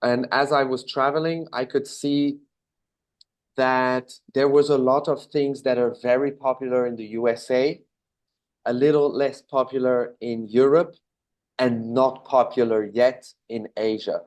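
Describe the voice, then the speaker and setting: A man in his thirties from Belgium with a Belgian accent, answering interview questions in a relaxed, casual way, with fillers and self-corrections. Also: mid-range voice, measured pace. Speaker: interview guest, business owner.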